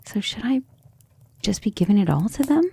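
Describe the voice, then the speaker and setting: A woman playing a gentle, earnest, devoted female vampire in an intimate ASMR roleplay, speaking tenderly and a little hesitantly. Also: medium pitch, expressive, moderate pace, heavily acted. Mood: troubled, doubting. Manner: soft, hesitant, tender, often breathy near-whisper.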